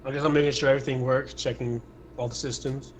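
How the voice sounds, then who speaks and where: A man's voice: medium pitch, little pitch movement, fast talker. A man speaking casually as a player in an online tabletop roleplaying game. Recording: faint hum on a home mic.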